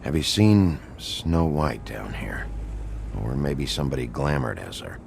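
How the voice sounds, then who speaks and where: A man playing a gruff, world-weary, curt but protective detective in a video game, with a low growl. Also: very animated, measured pace, a little mellow, a little hiss or hum, exaggerated voice. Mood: stern concern.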